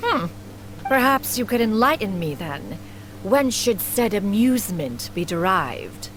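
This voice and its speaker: A woman's voice: medium pitch, big pitch swings, unhurried, fairly bright tone, low-quality recording. A woman playing an aloof, haughty immortal lady in an anime game, speaking in stately, old-fashioned phrases over music. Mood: brisk, guarded. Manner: archaic, stately phrasing, calls self "one". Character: aloof, formal, ancient adeptus woman, haughty, awkward.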